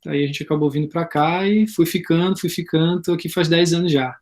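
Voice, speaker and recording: Relaxed man in his thirties introducing himself. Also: fairly high pitch, fairly quick. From Brazil, Florianópolis. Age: 30s. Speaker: guest teacher and sports psychology consultant. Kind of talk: casual self-introduction.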